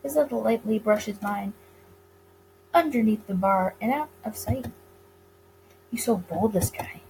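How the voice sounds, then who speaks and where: A woman's voice: medium pitch, measured pace, vocal fry, mellow, slight background noise, poor audio. A woman reading visual novel text aloud dramatically, with casual asides of her own.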